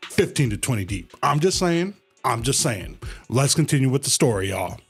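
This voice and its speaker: Animated man livestreaming a game. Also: medium pitch, moderate pace, slightly rough, soft background music. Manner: repeats phrases emphatically, playful slangy reactions. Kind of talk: casual reaction commentary, reading game text aloud.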